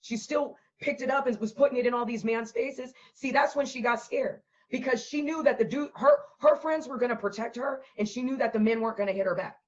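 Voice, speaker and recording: Hoarse, profane woman ranting. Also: a little high, brisk, few pauses, muffled recording. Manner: profane, blunt, defiant ranting. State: hoarse, lost voice. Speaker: host. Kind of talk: casual ranting vlog.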